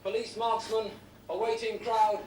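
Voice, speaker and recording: A TV reporter, measured and solemn, high voice, far off. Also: male voice, flat delivery, roomy sound, very noisy. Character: male TV news reporter on location.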